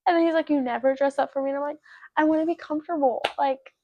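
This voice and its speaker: A young woman of eighteen with a high voice and plenty of vocal fry chats casually on a podcast. She speaks informally, leaning on "like", and the recording is dry and slightly dull. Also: fairly even intonation, quick pace, no room sound. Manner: informal, heavy use of "like". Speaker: podcast co-host.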